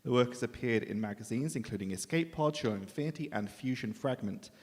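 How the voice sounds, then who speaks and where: A man's voice: mid-range voice, animated, brisk, darkish tone. A man hosting a live literary event, making informal, joking, self-deprecating announcements between acts. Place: indoor live event venue in a reverberant hall. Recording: echoey hall with clear background noise.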